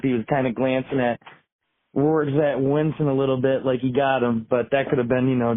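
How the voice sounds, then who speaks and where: A man leaving a casual voicemail as a sports fan, chatting informally. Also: medium pitch. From upstate New York. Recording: tinny narrowband phone voicemail.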